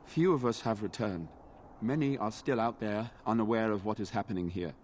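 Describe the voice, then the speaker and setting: An actor playing an earnest, dutiful knight in a fantasy video game, speaking formally and courteously. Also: male voice, fairly low pitch, brisk, a little mellow, a little hiss or hum, natural voice. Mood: grave, informative. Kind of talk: video game dialogue acting.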